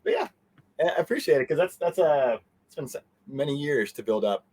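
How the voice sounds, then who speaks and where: A man's voice: a little high, huge pitch swings, brisk, a little mellow. A man being interviewed, talking in a casual, rambling, conversational way. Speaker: interview guest, convention organizer.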